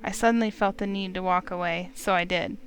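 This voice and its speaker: A woman hosting a game playthrough, reading the story aloud as expressive narration. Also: fairly low pitch, fairly quick, slight background noise.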